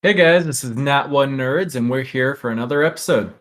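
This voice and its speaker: A man speaks as a podcast co-host in relaxed, informal conversation. His voice has an average, middle pitch. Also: brisk. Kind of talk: casual chat.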